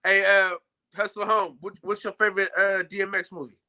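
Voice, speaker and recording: A young man hosting a casual live-stream chat, loudly shouting out viewers by name. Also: high voice, flat delivery, brisk, slight background noise. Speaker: podcast host.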